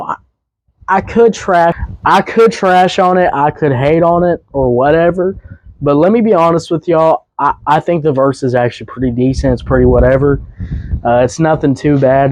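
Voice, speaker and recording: A young man hosting a casual music review podcast, his voice a bit hoarse, rambling loosely with fillers and restarts. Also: a little high, a little mellow, dry recording. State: voice slightly hoarse.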